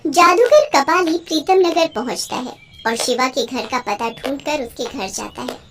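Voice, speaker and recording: A narrator reading a scripted children's moral story in an expressive storytelling style. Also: high-pitched, normal speed.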